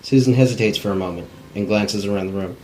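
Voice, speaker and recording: Male narrator, lowish voice, plain table-read delivery, scripted stage directions, faint background noise. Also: fast talker, darkish tone, poor audio. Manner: plain, unpolished cold reading.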